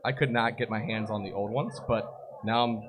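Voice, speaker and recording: A man with a raspy voice hosting a live soccer show, chatting casually, joking and reading viewer comments. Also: lowish voice, steady tone, fairly quick. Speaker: podcast host. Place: busy brewery. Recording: noisy live bar recording. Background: crowd chatter.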